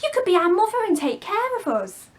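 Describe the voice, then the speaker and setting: A woman's voice: very high voice, big pitch swings, a little fast, pitched above the performer's own voice. A woman voicing an adventurous, boastful young boy who leads other children in a storybook. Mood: eager, boastful.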